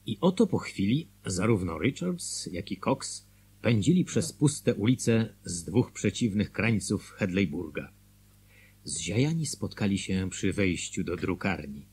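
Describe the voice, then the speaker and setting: A man narrating a Polish radio play in a dramatic, scripted storytelling style with a husky voice. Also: deep voice, a little mellow, exaggerated voice.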